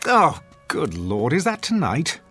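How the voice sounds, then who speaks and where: Snooty sardonic critic husband, radio drama, sharp voice, really swoopy and theatrical. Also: male voice, on the high side, huge pitch swings, a little slow, soft background music, exaggerated voice. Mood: exasperated, sardonic. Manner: pompous, acerbic, theatrical.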